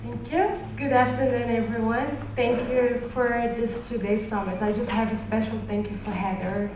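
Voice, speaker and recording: A woman's voice: a little high, fairly even intonation, moderate pace, noisy background. A woman introducing herself earnestly as a panelist, her English phrasing slightly nonstandard. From Morocco. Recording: echoey hall, distant muffled mic.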